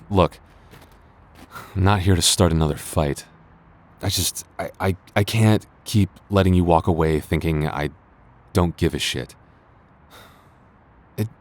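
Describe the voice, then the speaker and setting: A man acting a stubborn but regretful young man in an intimate boyfriend roleplay, speaking in a halting, earnest way with stammers and sighs. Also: low-pitched, a little mellow. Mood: exasperated, earnest. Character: stubborn, regretful young man confessing love to childhood friend.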